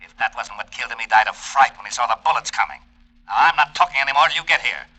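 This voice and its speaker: A gruff American cop in a classic radio crime drama. He rattles along in a mid-pitched, biting voice, forever exasperated and sarcastic. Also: lively intonation, fast, bright, forceful tone, rough recording. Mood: sarcastic, demanding. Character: gruff, exasperated, sarcastic middle-aged male police lieutenant. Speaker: radio actor. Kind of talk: 1940s-50s radio crime drama acting.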